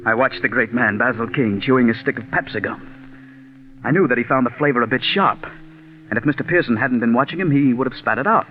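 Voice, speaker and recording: An actor with an American accent playing a coldly scheming, vengeful writer in a 1940s radio mystery, speaking deliberately. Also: male voice, medium pitch, slight background noise, close to an everyday voice. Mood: coldly calculating. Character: coldly scheming, vengeful male radio scriptwriter turned murderer. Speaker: actor narrating and playing the lead. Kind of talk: 1940s radio mystery acting and first-person narration. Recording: tinny vintage radio recording, music behind.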